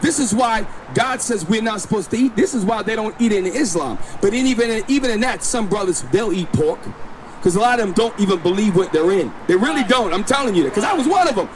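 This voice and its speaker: A man preaching and teaching scripture outdoors on a city street, talking forcefully and insistently and often repeating himself. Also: high-pitched, animated, a little fast. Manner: forceful, insistent, repeats himself, tells the reader when to read. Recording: noisy outdoor street recording. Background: street noise.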